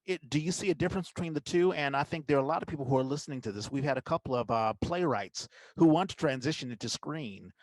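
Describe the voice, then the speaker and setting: A man's voice: on the high side, fast, few pauses, no room sound. Middle-aged man hosting, dry close sound. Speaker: podcast host. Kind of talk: casual interview conversation.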